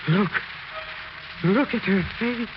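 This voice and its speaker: An actor playing an obsessed, grieving husband, intense and theatrical, in 1940s radio melodrama. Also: male voice, high voice, slow. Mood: frantic, obsessed. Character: obsessed grieving husband, desperate, morbid, unhinged. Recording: very noisy, muffled 1940s radio recording.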